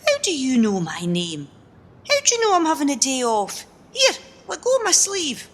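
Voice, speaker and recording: Sceptical working woman female amateur actor, radio play. Brisk, incredulous, highish pitch, extremely expressive delivery. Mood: irritated, wary. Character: feisty, sceptical, kind-hearted working woman on day off.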